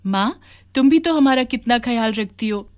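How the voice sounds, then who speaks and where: Woman as sweet teenage village girl, high-pitched. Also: lively intonation, normal speed, natural voice. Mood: caring. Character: fourteen-year-old village daughter, caring and curious. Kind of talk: acted dialogue in a children's moral story.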